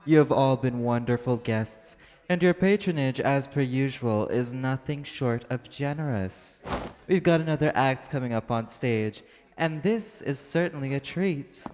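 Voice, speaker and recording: A man playing an apathetic, guarded socialite in a crime syndicate, speaking in a measured, deliberate way in an audio drama. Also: medium pitch, darkish tone, a little hiss or hum, natural voice. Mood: smooth, gracious. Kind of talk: dramatic audio roleplay acting.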